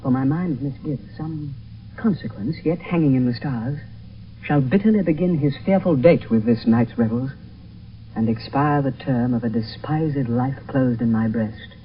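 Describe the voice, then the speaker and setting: A man playing an earnest, lovestruck young gentleman, speaking lyrical verse in a 1970s radio play. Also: mid-range voice, normal speed, slight background noise, lightly acted. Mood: foreboding. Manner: lyrical, poetic. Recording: muffled distant radio broadcast, music behind.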